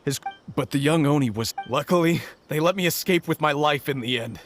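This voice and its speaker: A man's voice: on the high side, a little fast, faint music behind, close to an everyday voice. A man playing a nervous, rattled ordinary townsman who has been robbed, speaking in an anxious, wary, hesitant way in a video game. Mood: anxious recounting.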